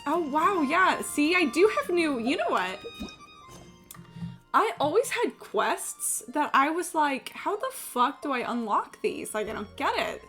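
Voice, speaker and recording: A young woman giving casual live commentary on a video game. Her voice is bright and very lively in pitch, with an excitable, reactive manner. Game music plays softly behind her. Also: unhurried. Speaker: live streamer. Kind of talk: casual gaming livestream commentary.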